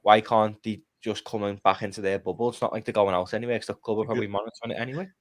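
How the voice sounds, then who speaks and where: A man's voice: lowish voice, steady tone, very fast, no echo. A man, chatting casually and bluntly about football on a live fan stream. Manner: blunt, opinionated, swears. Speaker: podcast panelist.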